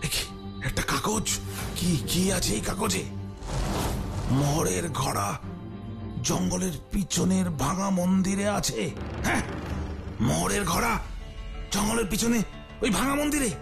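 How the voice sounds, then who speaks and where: A sly, greedy cartoon henchman, with a high-pitched, gravelly voice. He is cowardly and excitable, stammers and repeats his words, and music plays behind him. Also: unhurried, long pauses. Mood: puzzled, excited. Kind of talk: exaggerated children's cartoon acting.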